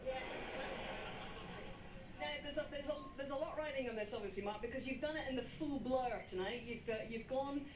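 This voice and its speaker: A woman's voice: sits high, a little fast, long pauses. A woman interviewing players on stage in a large indoor arena, polished and upbeat as she addresses the crowd. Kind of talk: live post-match presenting. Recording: echoey arena with loud noise.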